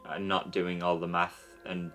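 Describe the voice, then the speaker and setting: A man's voice: low-pitched, completely flat delivery, a little slow, sharp tone, soft background music. A man giving casual, joking commentary as he watches a film with others.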